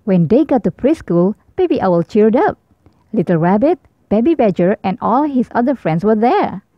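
Woman narrator, children's read-aloud, lively pitch, dry dull recording. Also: moderate pace, no room sound. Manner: clear, sing-song storytelling. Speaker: storybook reader. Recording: dull lo-fi sound.

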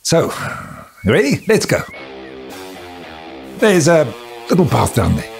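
Restless flirty office guy, very animated pitch, slow hesitant delivery, radio drama, music behind. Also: creaky, roomy sound, heavily acted. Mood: flirtatious, eager. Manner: hesitant, chuckling, muttering asides.

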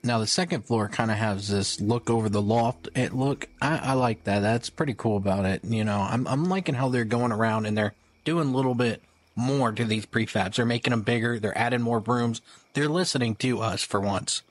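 A man's voice: mid-range voice, normal speed, dry recording. A man hosting a gaming video, giving casual update commentary in a conversational, rambling way.

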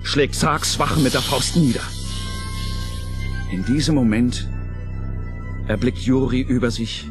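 A man narrating an audio play in a scripted, dramatic style, measured and suspenseful. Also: mid-range voice. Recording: narration over clear music.

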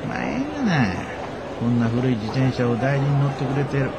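An actor playing a gruff, good-natured middle-aged father who repairs bicycles, grumbling and blustering with a Kansai accent in a radio drama. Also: mid-range voice, big pitch swings, a little fast, very noisy.

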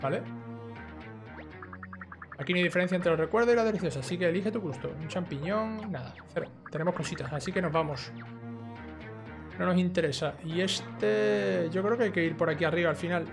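Young male gaming YouTuber, Spain accent, high voice, informal chatty delivery, faint game music underneath. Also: fairly quick, creaky, long pauses. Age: young adult. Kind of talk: casual let's play commentary, reading game dialogue aloud. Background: video game music.

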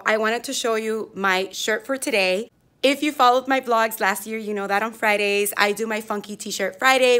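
A woman in her thirties talks casually into a phone held out at arm's length in her classroom. Her voice is a little hoarse, and she is chatty and upbeat, with plenty of "like" and "yeah.". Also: medium pitch, a bit bright. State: slightly hoarse, losing her voice. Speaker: fourth grade teacher. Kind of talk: casual vlog chat. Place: classroom, indoors.

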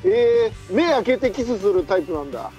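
A man's voice: sits high, big pitch swings, fairly quick, lo-fi sound. A young man, a comedian, chatting casually with others over a video call. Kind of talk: casual banter. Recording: video call with music behind.

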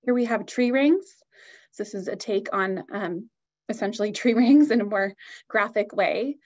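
A woman's voice: mid-range voice, normal speed, darkish tone, lo-fi sound. A woman giving an upbeat, enthusiastic product presentation on a video call.

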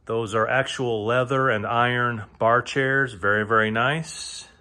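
Male auctioneer, mid-pitched voice, informal house tour delivery, halting, leans on repetition for emphasis. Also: fairly even intonation, sharp tone. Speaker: auctioneer giving a walk-through tour. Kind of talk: informal narrated walk-through of sale items. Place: indoors, moving through rooms of a large house. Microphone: handheld phone or camera, held by the speaker.

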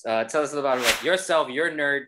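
Guy in his 30s hosting a webcam chat from home, loose and rambly, laughs a lot, mid pitch. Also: moderate pace, background noise. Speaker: host. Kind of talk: casual video call chat. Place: home room indoors. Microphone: webcam on video call.

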